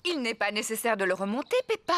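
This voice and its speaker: High, kindly cartoon mummy pig. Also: female voice, lively intonation, fast talker, fairly bright tone, dry recording. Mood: reassuring. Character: kind, calm mummy pig in a children's cartoon. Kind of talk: children's cartoon dubbing.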